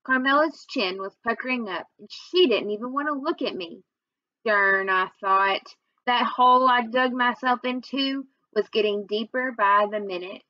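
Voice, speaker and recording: A young woman reads a children's story aloud. Her voice sits a little high. The webcam recording is dry and slightly muffled, made in a small room. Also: normal speed, pushed and bright, no room sound. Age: young adult. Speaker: reader. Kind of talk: children's book read-aloud narration. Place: small room at home, indoors.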